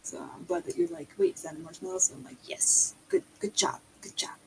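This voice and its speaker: A woman's voice: very high-pitched, very animated, fairly quick, vocal fry, mellow, a little hiss or hum, poor audio. A young woman chatting casually on a livestream in a hesitant, rambling way. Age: young adult.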